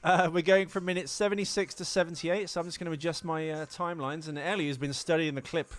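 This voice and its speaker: A man's voice: on the high side, fairly even intonation, fast, very noisy. A man co-hosting a casual movie podcast, bantering with dry, teasing remarks and some swearing. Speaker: podcast co-host.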